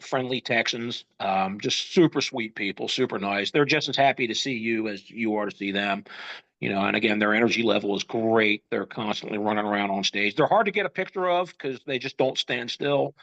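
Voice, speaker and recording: A congested man with a gravelly, fry-laden voice tells concert stories on a casual podcast. His talk wanders, with lots of ums and you knows. The audio is somewhat dull and lo-fi. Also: medium pitch, a bit bright. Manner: chatty storyteller, many ums and you knows. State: congested, getting over a cold. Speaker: podcast host. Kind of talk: casual chat.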